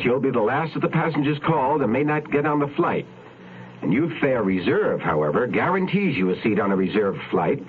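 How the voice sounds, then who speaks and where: A man reading a scripted consumer advice announcement in a measured, instructive manner. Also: mid-range voice. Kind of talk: scripted public service announcement. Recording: tinny, band-limited radio recording, faint noise.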